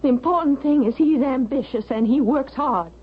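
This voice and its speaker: An actress playing an earnest young married daughter in a vintage radio drama, speaking emphatically. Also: female voice, high-pitched, normal speed, breathy edge. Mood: defiant. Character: earnest, defiant young married daughter, loyal to her husband. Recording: dull vintage radio recording.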